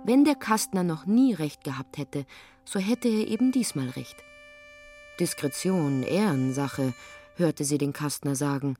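Young woman, low-pitched and sober, narrating her own thoughts in radio drama, music underneath. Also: animated, a little slow, mellow. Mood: hesitant, pensive. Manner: sober, matter-of-fact. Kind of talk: radio play with third-person narration.

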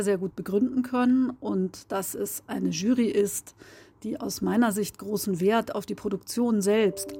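A woman's voice: medium pitch, dark tone, breathy edge. A woman giving a prepared message of thanks as a radio drama editor.

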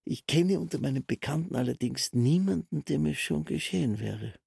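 Very husky, rambling official. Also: male voice, medium pitch, animated, moderate pace, dry recording, studio quality, heavily acted. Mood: musing, explanatory. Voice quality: husky, creaky. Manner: soft, self-absorbed, long-winded explaining. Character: rambling, self-satisfied male castle official, quietly fervent. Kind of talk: literary radio drama monologue.

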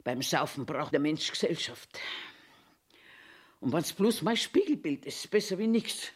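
A woman's voice: deep voice, expressive, measured pace. An actress in her eighties playing a cranky, eccentric old toilet attendant in a theatrical monologue, querulously talking to herself in a Bavarian accent. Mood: grumbling, self-pitying. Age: 80s. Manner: self-talking, querulous, emphatic. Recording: echoey room sound.

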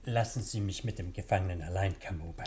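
A man's voice: sits low, fast talker, dark tone, natural voice. A man playing a dignified senior judge with a curt, authoritative manner, in a noisy 1960 German radio comedy recording. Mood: curt, commanding.

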